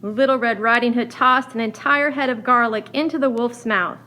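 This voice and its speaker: A woman's voice: a little high, fairly even intonation, normal speed, sharp tone. A woman narrating a scripted children's fairy tale as a storyteller. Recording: faint background noise.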